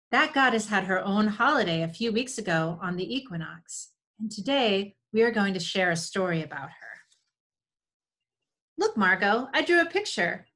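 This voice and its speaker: A woman's voice: mid-range voice, pushed and bright. A woman in her forties giving scripted storytelling for children, recorded over a video call from a room at home. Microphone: video call computer mic.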